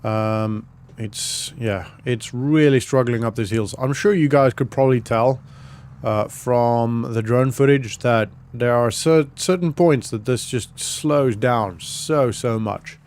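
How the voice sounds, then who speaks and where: A man with a croaky voice giving relaxed, rambling commentary on a farming game, with many fillers. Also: mid-range voice, slow pace. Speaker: gaming YouTuber. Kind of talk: casual gameplay commentary.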